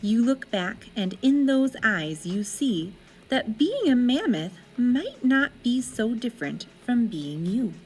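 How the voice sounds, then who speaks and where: Children's story reader: woman, lightly high voice, very lively intonation, deliberate dramatic phrasing, music underneath. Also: rough recording. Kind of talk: expressive storybook narration.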